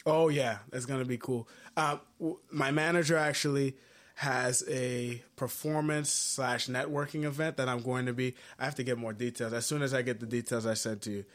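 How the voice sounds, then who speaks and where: A man's voice: mid-range voice, fairly even intonation, normal speed. A man from Haiti who is a musician, talking earnestly and reflectively in a casual interview, with many fillers and restarts. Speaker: musician guest.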